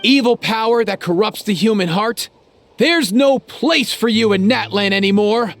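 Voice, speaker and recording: A man's voice: high voice, normal speed, fairly bright tone, soft background music. A man voicing a small, earnest heroic creature in a video game, declaiming in a solemn, theatrical way. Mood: solemn, resolute.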